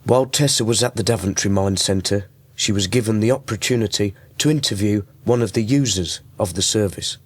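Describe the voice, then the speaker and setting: A man's voice: lowish voice, brisk, few pauses, no room sound. A man presenting a radio programme, reading scripted links between items.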